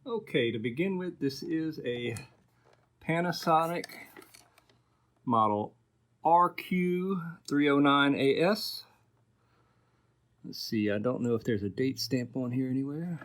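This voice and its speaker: A man's voice: mid-range voice, expressive, slow, pauses often, fairly bright tone, a little hiss or hum. A man hosting a casual repair video, talking informally and thinking aloud as he works. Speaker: host of an electronics repair video. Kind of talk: casual workbench vlog narration.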